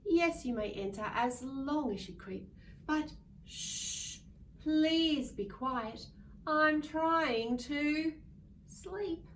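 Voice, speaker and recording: A woman voicing a small sleepy storybook possum girl, politely hushing her visitors with drawn-out pleas. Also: sits high, lively intonation, voice pushed up, heavily acted. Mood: sleepy, pleading. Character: small sleepy female possum, polite but wanting quiet. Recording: distant mic with faint background noise.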